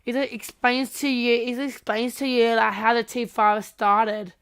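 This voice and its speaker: A woman's voice: a little high, steady tone, unhurried, fairly bright tone, clear, resonant tone. A woman co-hosting a podcast in casual chat, informal and blunt, often repeating her phrases. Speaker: podcast co-host.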